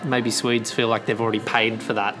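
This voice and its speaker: A man's voice: lowish voice, level tone, brisk, music in the background. A man from Australia, hosting a casual interview in an easygoing, thoughtful way. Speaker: podcast host, interviewer.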